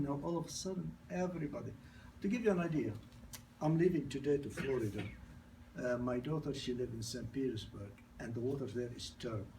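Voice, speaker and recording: A gravelly-voiced older man with a non-native accent pitches a product in loose, broken English and drifts into personal stories. His voice sits a little high, and he is caught from a distance with a faint hum of the room behind him. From grew up in New York. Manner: broken grammar, repeats and restarts, storytelling. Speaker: product presenter. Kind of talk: informal sales presentation with anecdotes.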